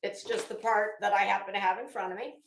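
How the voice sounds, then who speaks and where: A woman's voice: medium pitch, fairly even intonation, fast talker, vocal fry, slight background noise. A woman commentator reading aloud and riffing in a sarcastic, taunting, emphatic way, blunt and given to swearing. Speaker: online commentator reading a legal motion aloud. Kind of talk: dramatic reading with mocking asides. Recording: echoey room.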